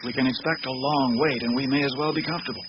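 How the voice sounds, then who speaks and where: Male actor as older captain, calm, dryly practical, unhurried, radio drama, dull recording, heavy background noise. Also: mid-range voice, close to an everyday voice. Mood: dry, unruffled. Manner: unhurried, dry, matter-of-fact.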